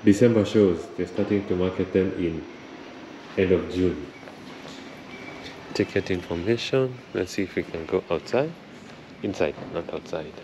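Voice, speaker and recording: A young man talks into a camera held close to his chest as he walks. His voice sits a little low, and he takes his time. Street and traffic noise comes through clearly behind him. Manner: slow, thoughtful, chatty, says he struggles with R and L. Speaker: travel vlogger. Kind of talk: walking travel vlog. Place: outdoors at a rainy harbor, then inside a concert hall. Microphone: camera held close at chest height.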